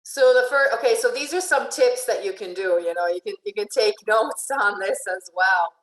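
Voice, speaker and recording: A woman's voice: mid-range voice, normal speed, fairly bright tone. Chatty woman coach in an echoey room. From Venezuela. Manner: chatty, often restarts and asks "right?". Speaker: life and relationship coach. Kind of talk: informal online presentation.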